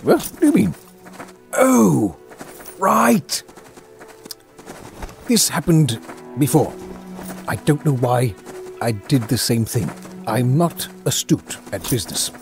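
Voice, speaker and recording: An earnest, bumbling dragonborn adventurer in a comedic fantasy drama. His mid-pitched voice is very animated, theatrically courteous yet awkward and chatty. Music plays underneath. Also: slow pace, creaky, pauses often, exaggerated voice. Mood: puzzled, flustered.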